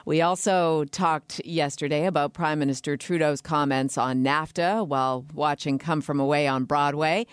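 A woman's voice: deep voice, steady tone, moderate pace, hardly any pauses, clean tone, dry recording, clean studio sound. A woman with a Canadian accent presenting a radio phone-in as its host. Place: radio studio.

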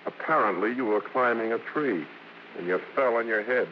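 A man's voice: mid-range voice, normal speed, creaky, close to an everyday voice. An American actor playing a wry, theatrical father in a 1950s radio drama. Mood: dry exasperation. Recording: dull, very noisy old radio recording.

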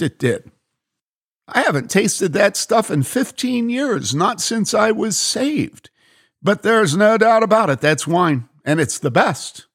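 A man doing a flustered, excited helper. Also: sits high, creaky, breathy edge, pitched above the performer's own voice, exaggerated voice. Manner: excited and insistent. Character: excitable middle-aged male helper, flustered.

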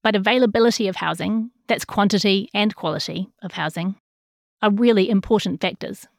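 A woman's voice: medium pitch, fairly quick, gravelly, no room sound, clean studio sound. New Zealand woman narrating a news feature. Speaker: journalist narrating a feature story. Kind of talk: scripted narration of a written news feature, reading quotes.

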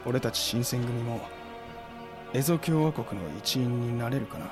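A man's voice: medium pitch, unhurried, close to an everyday voice. A man acting a blunt samurai commander, music behind. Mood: quietly sincere. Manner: blunt, terse. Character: Hijikata Toshizo, blunt cool-headed samurai warrior commander. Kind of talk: Japanese historical audio drama acting.

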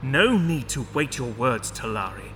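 A man's voice: mid-range voice, sing-song, unhurried, fairly bright tone, a little hiss or hum. A man playing an imperious, exasperated judge in a fantasy audio drama, pompous and commanding. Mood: angry, exasperated.

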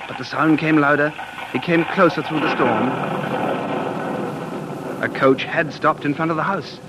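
A man's voice: on the high side, fairly even intonation, a little slow, very noisy, lightly acted. An actor playing an earnest, dreamy man who is obsessed with the past, narrating intensely in 1940s radio drama style. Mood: hushed, suspenseful. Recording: vintage 1940s radio broadcast with music.

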